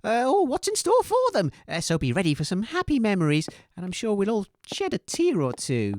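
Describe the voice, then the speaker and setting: A woman's voice: medium pitch, huge pitch swings, fairly quick, darkish tone, no echo, clean studio sound. A woman playing an earnest, hesitant, long-suffering radio co-host in scripted comedy banter. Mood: eager.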